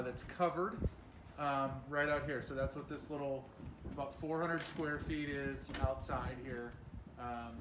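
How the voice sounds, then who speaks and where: Man guiding a tour in an echoey shell. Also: mid-range voice. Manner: casual, pointing things out, frequent fillers. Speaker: tour guide. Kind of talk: walking site tour. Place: large unfinished timber building under construction. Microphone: camera from a distance. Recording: echoey room, distant mic, background noise.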